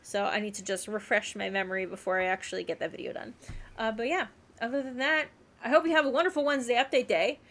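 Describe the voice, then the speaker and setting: A woman with a fairly high voice chats casually on a livestream. She rambles along in an animated way, repeating words for emphasis as she talks to her viewers. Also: quick pace. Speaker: game streamer.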